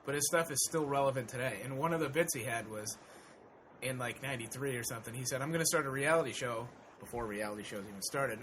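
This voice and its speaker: Bright-voiced man in casual banter. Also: medium pitch, fast talker, slight background noise. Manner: crude, mocking jokes with a loud laugh. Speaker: guest co-host.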